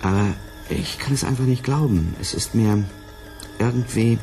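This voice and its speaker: An actor playing an earnest, careful scientist in a radio drama, speaking in a thoughtful, measured manner. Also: male voice, fairly low pitch, animated, vocal fry, a little mellow, faint music behind. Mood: pensive. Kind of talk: 1980s German radio drama acting.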